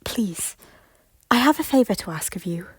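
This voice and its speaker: A woman voicing a guilt-ridden former queen who pleads in breathy, often whispered lines. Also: mid-range voice, expressive, moderate pace, soft background music. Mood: pleading. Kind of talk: fan audio drama acting.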